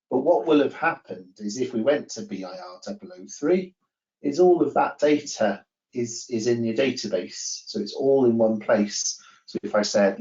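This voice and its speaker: A man giving an informal software demonstration, talking through the screen with hesitant, repeated phrasing and self-corrections. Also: lowish voice. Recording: roomy, distant, muffled microphone.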